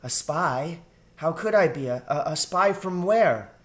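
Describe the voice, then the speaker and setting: A man's voice: fairly high pitch, expressive, a little slow, voice pushed up. Man voicing a mild, patient female mouse. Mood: puzzled. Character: gentle adult mother mouse, puzzled, polite. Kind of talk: storybook character voice in a read-aloud.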